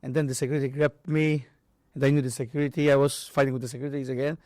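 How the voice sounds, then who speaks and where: A man's voice: medium pitch, level tone, very fast, a little mellow, dry recording, studio quality. A Maltese man with a Maltese accent and non-native English, casually telling stories and acting out dialogue and impacts. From Malta. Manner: acts out dialogue and impact sounds. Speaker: boxer and boxing trainer, interview guest. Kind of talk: casual animated storytelling.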